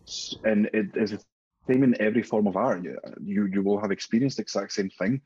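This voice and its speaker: Husky Spanish-accented man on a video call. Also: on the low side, fairly quick, darkish tone, dull audio. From Spain. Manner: candid, sweary storytelling. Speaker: guest. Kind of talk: casual interview chat. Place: home room, indoors.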